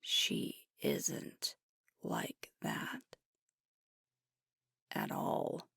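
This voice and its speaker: A woman playing a frustrated, doubting alpha werewolf pack leader in an intimate ASMR roleplay, speaking breathily and deliberately into a close microphone. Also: fairly bright tone, no echo. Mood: troubled, frustrated. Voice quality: breathy, whispery.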